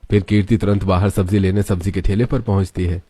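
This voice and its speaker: A man's voice: low voice, steady tone, fast talker, warm tone, breathy edge. A man narrating a scripted cartoon story. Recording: music behind the voice. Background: background music.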